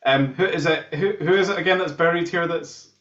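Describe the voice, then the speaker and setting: A man's voice: a little high, a little fast, fairly bright tone. A Scottish man chatting casually as an interview guest, with a jokey, tongue-in-cheek manner. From Scotland. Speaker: band musician, guitarist, interview guest. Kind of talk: casual chat. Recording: dull, roomy video-call sound.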